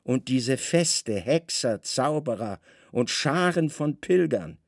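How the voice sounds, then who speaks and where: A man's voice: medium pitch, animated, slow, dry recording, clean studio sound. An actor playing a weary, imperious Roman governor in a radio drama, irritable, brooding and probing in his questions. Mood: weary, irritated.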